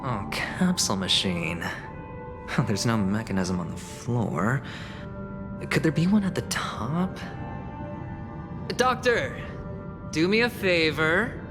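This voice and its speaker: Smug, playful young schemer, slow-talking. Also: male voice, mid-range voice, animated. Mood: curious, playful. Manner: teasing, self-amused. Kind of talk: video game cutscene voice acting. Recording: music behind the voice.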